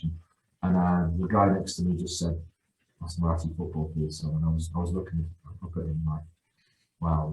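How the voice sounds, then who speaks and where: Deep-voiced man in an echoey room. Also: darkish tone. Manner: enthusiastic, with frequent restarts and repeated words. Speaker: guest, youth football coach. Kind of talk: casual podcast interview.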